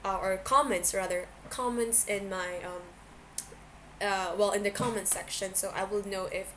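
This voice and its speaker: An amateur woman reader with an informal, slightly tentative way of talking. Her pitch is average for a woman, and there is faint noise on the home recording. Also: fairly even intonation, moderate pace, a bit bright. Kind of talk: casual introduction and fiction narration.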